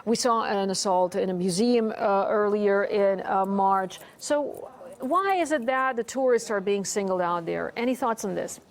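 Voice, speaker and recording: A woman's voice: medium pitch, moderate pace. A woman presenting TV news and interviewing a guest, with many hesitant fillers. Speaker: news anchor.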